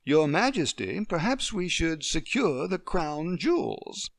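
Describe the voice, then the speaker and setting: A kind, light-hearted young woman, as a male storyteller puts her on, speaking gently in a lifted, higher voice. She is calm and playful, warmly joining a child's make-believe in a storybook reading. The sound is close and dry. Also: moderate pace, dry recording. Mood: playful pretend.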